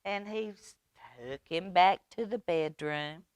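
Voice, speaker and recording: A woman reading aloud at a children's storytime, expressive and using simple phrasing for small children. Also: fairly low pitch, slow pace, clear, resonant tone, dry recording.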